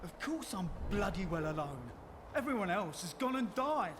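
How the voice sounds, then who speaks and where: A stubborn soldier from a fantasy game. He is exasperated and blunt, with a high voice. Loud ambient noise surrounds him. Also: very animated, normal speed. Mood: exasperated defiance. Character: irritable, stubborn, loyal male soldier. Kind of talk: video game character acting.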